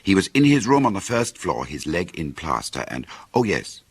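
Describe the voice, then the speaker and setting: An older gentleman in a radio play, teasing and proud of his memory. His voice is very deep and husky, lively in its rise and fall, recorded dry and close. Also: moderate pace, no room sound, exaggerated voice. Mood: teasing recollection. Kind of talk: conversational radio drama storytelling.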